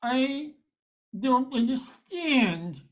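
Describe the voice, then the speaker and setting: A gentle, gullible middle-aged man acted by an older woman in an amateur radio play. The voice sits fairly high and moves very slowly. He stammers and hesitates as if he has lost his train of thought. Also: expressive, full character voice. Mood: weak, dazed. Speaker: amateur radio drama actor.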